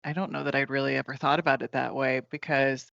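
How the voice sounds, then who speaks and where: Woman podcast host with creaky voice. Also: a bass voice, level tone, fast talker, fairly bright tone, no room sound, muffled recording. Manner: engaged, prompting others with questions. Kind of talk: conversational panel discussion.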